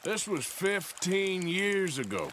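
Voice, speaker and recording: An actor playing a gruff outlaw in his thirties in a video game, laconic, with dry, grumbling humour. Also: sits high, very animated, takes it slowly, fairly bright tone, full character voice. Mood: exasperated. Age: 30s. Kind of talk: video game character acting. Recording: clear water and boat noise behind.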